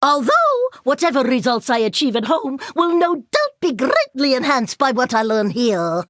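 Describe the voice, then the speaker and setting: A woman's voice: sits high, very animated, normal speed, no room sound, studio quality. A woman voicing a fussy, curious elderly scientist in a video game, prim, precise and motherly in her way of talking. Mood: approving. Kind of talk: animated video game character voice lines.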